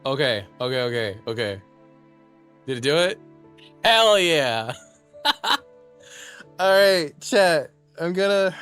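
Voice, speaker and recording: A young man streaming live, chatting casually; he is excitable, laughs often and is losing his voice. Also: a little high, smooth. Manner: excitable, laughs often, repeats words in bursts.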